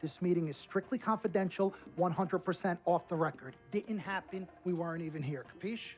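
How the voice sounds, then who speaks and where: A man's voice: sits high, brisk, darkish tone, lightly acted. Man playing a slick sports agent over music. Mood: smooth, assured. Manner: emphatic salesman patter, repeats himself for effect. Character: slick, boastful, smooth-talking male sports agent. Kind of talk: video game cutscene acting.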